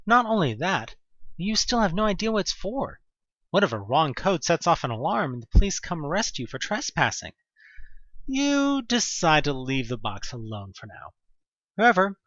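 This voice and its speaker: A man's voice: on the high side, a bit bright, no room sound, dull audio. A man narrating a horror audio drama in the second person, with dramatic, wry storytelling.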